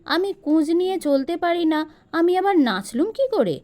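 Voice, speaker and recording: A woman voicing a kindly, weary hunchbacked basket seller in an expressive storybook manner. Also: very high-pitched, normal speed, pitched above the performer's own voice. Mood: amazed.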